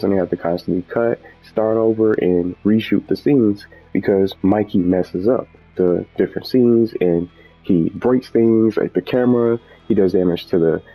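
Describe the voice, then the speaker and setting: A man giving casual, unscripted commentary as a gaming video host, rambling and often repeating and rephrasing himself. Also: low-pitched. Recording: dull lo-fi mic with background music.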